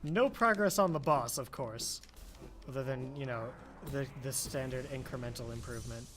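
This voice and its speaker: A man's voice: medium pitch, normal speed, noisy background. A young man giving casual, joking commentary while he plays a game, laughing often. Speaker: speedrunner at a charity marathon. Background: game music.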